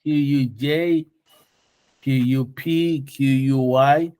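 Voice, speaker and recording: A man's voice: medium pitch, fairly even intonation, very slow, darkish tone, smooth, no echo. A man working as an instructor, lecturing informally and explaining things, often checking understanding with questions. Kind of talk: classroom lecture with questions to students.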